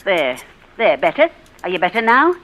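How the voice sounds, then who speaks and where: A woman's voice: fairly low pitch, very animated, normal speed, a bit bright, a little hiss or hum. An actress playing a brusque, nosy working-class woman who calls people dearie, in a 1940s radio drama. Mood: soothing. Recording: old radio broadcast with music.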